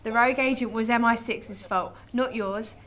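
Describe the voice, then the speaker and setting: Woman acting a firm, higher-voiced political aide. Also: fairly even intonation, normal speed, a bit bright, natural voice. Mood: reassuring, firm. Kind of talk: radio drama acting.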